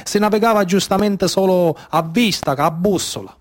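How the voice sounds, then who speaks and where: A man's voice: high-pitched, moderate pace, voice pushed up, natural voice. A man playing a nostalgic old Sicilian tuna-fishing chief in a theatre monologue, speaking emphatic, colloquial Trapani dialect-laced speech.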